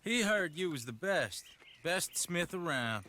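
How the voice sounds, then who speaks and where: An actor playing an ingratiating, smooth-talking frontier middleman in a video game cutscene. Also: on the high side, sing-song, a little slow, pushed and bright, slight background noise, full character voice. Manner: salesy and ingratiating.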